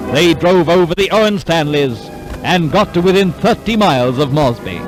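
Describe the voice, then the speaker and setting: A man's voice: on the high side, low-quality recording. Aussie guy doing 40s war newsreel voiceover, music blaring behind, clipped and dramatic. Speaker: newsreel narrator. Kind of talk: 1940s wartime newsreel narration, scripted. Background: orchestral newsreel music.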